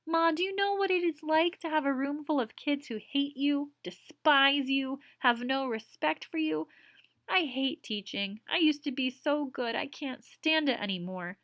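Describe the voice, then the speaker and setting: Distraught middle-aged female teacher, voiced by a woman, pleading, higher pitched, dry close sound. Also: measured pace, fairly bright tone, no echo. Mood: distressed. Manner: pleading, emotional.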